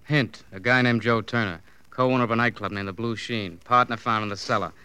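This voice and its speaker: An actor playing a wry, needling police detective in an old radio crime drama, sarcastic and taunting. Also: male voice, on the low side, steady tone, fairly quick, bright, forceful tone, smooth, no room sound. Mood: mocking.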